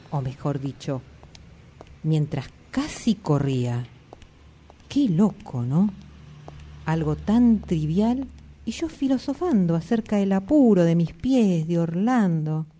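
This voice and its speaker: A woman's voice: low-pitched, lively intonation, slow, long pauses, dark tone, slight background noise. A woman acting an anxious, introspective character in a radio drama monologue, confiding and dramatic, with a Buenos Aires accent. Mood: troubled. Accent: Argentine, Buenos Aires. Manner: confiding, dramatic, reflective with colloquial swearing. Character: anxious, introspective woman haunted by always running late.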